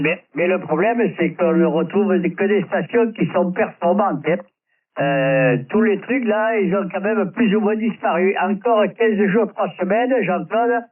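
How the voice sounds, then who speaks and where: A man with a very high voice makes relaxed conversation as a radio amateur. The sound is narrow and tinny like shortwave, and he seems set back from the microphone. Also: recorded from a distance. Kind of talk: casual ham radio chat. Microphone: radio transceiver microphone. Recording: tinny band-limited shortwave radio transmission.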